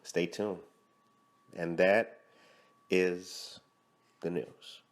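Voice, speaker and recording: A man hosting a morning podcast, reading community announcements and interviewing a guest in a lively, friendly way. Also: low voice, drawn-out words. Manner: lively, friendly, self-correcting with a laugh. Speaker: podcast host.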